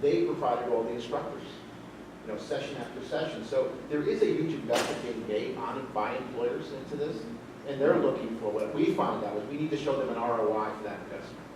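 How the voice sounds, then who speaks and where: A man's voice: mid-range voice, a little fast. A man speaking on a panel, explaining his points and restarting phrases as he goes. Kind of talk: panel discussion remarks. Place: large hall. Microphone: distant room mic. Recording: echoey hall, distant noisy mic.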